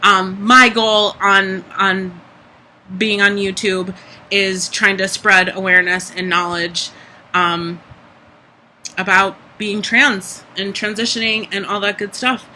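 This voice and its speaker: A young adult vlogger with a high speaking voice, giving a candid, chatty personal update full of "like" and "um". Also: level tone, slow, sharp tone, slight background noise, rough recording. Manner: candid, chatty, frequent "like" and "um" fillers. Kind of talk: casual personal vlog update.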